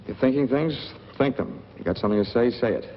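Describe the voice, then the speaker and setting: An actor playing a cold, guarded drifter in a 1940s film noir, speaking in clipped deadpan lines with a gravelly voice. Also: male voice, medium pitch, a little fast, natural voice. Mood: cold, sardonic. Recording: muffled, noisy old film soundtrack.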